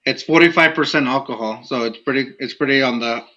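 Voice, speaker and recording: Bright, easygoing man rambling. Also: medium pitch, fairly quick, lo-fi sound. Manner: rambling, easygoing, restarts often. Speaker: podcast co-host. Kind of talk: casual drinking chat.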